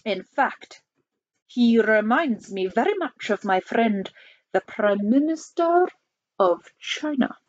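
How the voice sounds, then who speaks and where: A woman voicing an unruffled male Soviet premier, formal and measured, in a storybook reading. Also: a little high, expressive, no echo, muffled recording. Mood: measured, cool.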